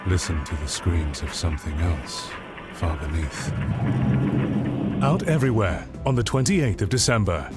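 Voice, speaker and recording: Slow, ominous male trailer voice over music. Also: low-pitched, lively intonation. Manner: deliberate, inviting, ominous. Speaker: trailer narrator. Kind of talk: slow scripted drama trailer narration. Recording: music bed behind the voice.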